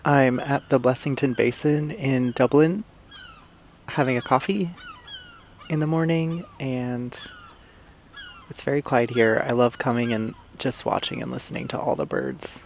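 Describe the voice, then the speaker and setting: A man recording a relaxed, quiet spoken note outdoors in a small park. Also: mid-range voice, a little slow, creaky, a little hiss or hum. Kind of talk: casual spoken field recording. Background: birds and outdoor ambience.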